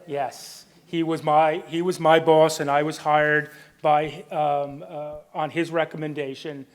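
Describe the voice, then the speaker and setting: A man with a booming voice moderating a town candidates night, talking with many ums and restarts. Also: level tone, measured pace. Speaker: debate moderator. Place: public meeting room.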